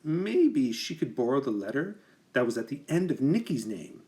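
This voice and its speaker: Animated man reading a children's storybook. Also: medium pitch. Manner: animated, deliberate emphasis for young listeners. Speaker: teacher reading a picture book aloud. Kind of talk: expressive children's storybook narration.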